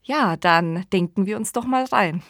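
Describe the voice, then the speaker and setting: A woman's voice: mid-range voice, unhurried, a bit bright, no room sound, clean studio sound. A woman introducing a programme as a podcast host.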